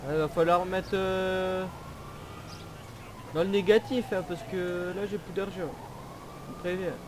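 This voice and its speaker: A man's voice: sits high, fairly even intonation, slow, background noise. A man improvising a cheeky, whining troublemaker under arrest in online game roleplay, speaking with mock politeness. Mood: mock-polite.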